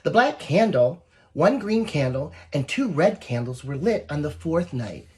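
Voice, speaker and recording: A woman reading a picture book aloud to young children, expressive and encouraging, with asides to the listeners. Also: deep voice, a little slow. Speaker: volunteer story reader.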